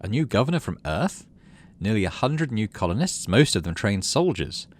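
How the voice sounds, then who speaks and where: A man reading a game's story script aloud as expressive narration for a gaming channel. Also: lowish voice, moderate pace.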